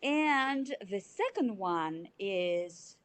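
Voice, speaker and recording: A woman in her forties from Belarus with a Russian accent, answering interview questions in a halting way with many fillers. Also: mid-range voice, lively intonation. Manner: halting, searching for words, frequent fillers. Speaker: CFO, interviewee.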